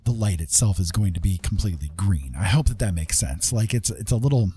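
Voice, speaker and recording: Low-pitched man, mellow tone, casual tutorial chat, thinking aloud, faint music behind. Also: animated, fairly quick. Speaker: livestream host, digital artist.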